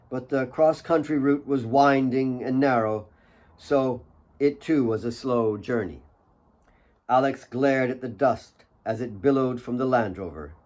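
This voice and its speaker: A man reading his own novel as a dramatic audiobook narrator, in a measured way with pauses between phrases. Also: medium pitch, a little mellow.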